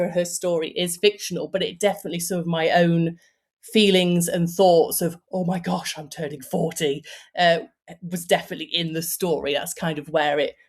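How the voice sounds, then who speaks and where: Chatty woman author in her forties. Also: lowish voice. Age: 40s. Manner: chatty, with many fillers and restarts. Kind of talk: casual interview chat.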